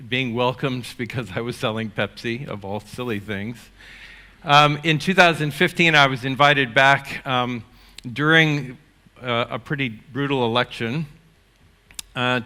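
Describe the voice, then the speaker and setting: Older New man, keynote speaker, forceful bright tone, loose and chatty with lots of restarts. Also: medium pitch. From United States. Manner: energetic, rambling with many fillers and restarts. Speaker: conference speaker. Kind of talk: keynote talk. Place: conference stage.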